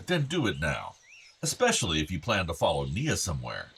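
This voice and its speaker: A man's voice: sits low, wildly swooping pitch, a little fast, creaky, fairly bright tone, husky, heavily acted. An actor playing an anxious, educated middle-aged American father in a radio play, earnest and pressing but conciliatory. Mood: concerned, pressing. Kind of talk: naturalistic radio play acting.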